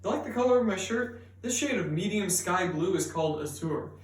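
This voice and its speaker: A fairly high-voiced young man explains word origins in a steady, earnest manner, stumbling now and then on small fillers. He was raised bilingual in English and Persian. His voice rings in a roomy space and sits some way off from the mic. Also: brisk. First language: bilingual, raised speaking English and Persian. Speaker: student presenter. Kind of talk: scripted educational video narration. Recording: roomy echo, mic at a distance.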